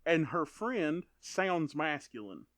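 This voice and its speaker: A young man from South Kentucky, co-hosting a podcast and telling stories with lively acted-out quotes. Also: fairly high pitch, takes it slowly, no echo, studio quality. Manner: animated storytelling, acts out quotes. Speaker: podcast co-host. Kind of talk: casual chat.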